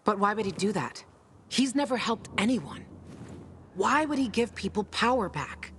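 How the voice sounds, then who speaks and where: A woman's voice: medium pitch, animated, moderate pace, a bit bright, slight background noise, lightly acted. An actress playing a tough, sardonic young woman guiding a newcomer, brash and teasing, in a game cutscene. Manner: brash, teasing, swears freely.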